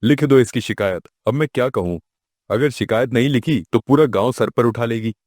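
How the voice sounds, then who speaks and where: Man acting an exasperated village police chief, mid-pitched. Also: normal speed, a little mellow, no echo, clean studio sound, natural voice. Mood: resigned. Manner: grumbling, sarcastic. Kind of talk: children's cartoon moral story acting.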